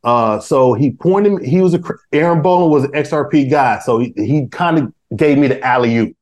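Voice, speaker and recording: A man's voice: mid-range voice, normal speed, a little mellow. A man in his forties, a podcast guest, chatting casually with lots of restarts, fillers and slang. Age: 40s. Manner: rambling, many restarts and fillers; uses street slang. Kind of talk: casual chat.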